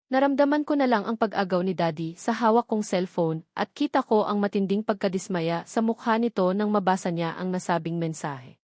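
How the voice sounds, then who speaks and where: A woman's voice: medium pitch, a little fast, a little mellow, no echo, clean studio sound. A woman with a Filipino accent reading a dramatic first-person novel as an audiobook narrator.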